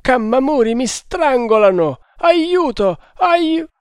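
Man playing wounded hunter, delirious, frantic, menacing, theatrical audiobook raving, high voice, dry sound. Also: slow, no room sound. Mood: terrified fury. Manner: raving, theatrical, swinging into shouts. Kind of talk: dramatic adventure audiobook reading.

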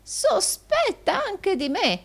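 A woman narrator puts on a fawning old male moneylender from a storybook. The voice is pitched high and swings widely. He gushes and whines, repeating his flattery slyly. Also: takes it slowly, creaky, full character voice. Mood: mock dismay. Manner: gushing, repetitive, exclaiming with groans and chuckles.

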